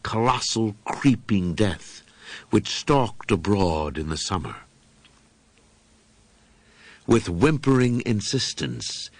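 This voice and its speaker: A man reading literary horror as an audiobook narrator, with a formal, measured, dramatic storytelling delivery. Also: low voice, no room sound.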